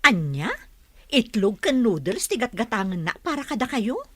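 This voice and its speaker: A woman's voice: on the high side, sing-song, normal speed. A woman playing a kindly, nosy village neighbour with an Ilocano accent in a radio drama. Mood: concerned.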